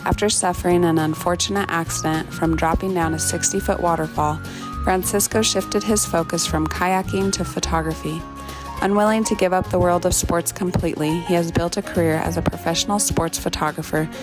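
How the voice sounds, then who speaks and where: A woman host reads a scripted introduction in a measured, solemn way, taking her time. Her voice sits on the low side. Plucked guitar music plays clearly under her words. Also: fairly even intonation. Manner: measured, slow and solemn when narrating. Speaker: podcast host. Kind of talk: scripted intro narration and interview. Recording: music bed behind the voice.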